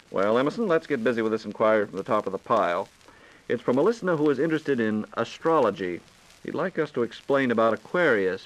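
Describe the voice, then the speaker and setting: Guy hosting old 1940s radio science show, quick pace, medium pitch, formal announcer delivery. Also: fairly bright tone, low-quality recording. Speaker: radio host posing listener questions. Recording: vintage 1940s radio broadcast.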